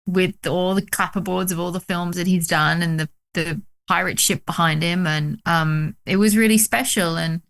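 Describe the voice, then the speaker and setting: A woman from the UK, a documentary director, talking excitedly about her film on a video call, with many fillers. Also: on the low side, moderate pace, gravelly, few pauses. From United Kingdom. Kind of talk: casual interview chat.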